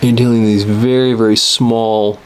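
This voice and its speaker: A man giving an unscripted hands-on repair tutorial, explaining step by step in a halting way and repeating words as he works. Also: lowish voice, little pitch movement, a little hiss or hum. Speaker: instructor demonstrating cassette tape repair.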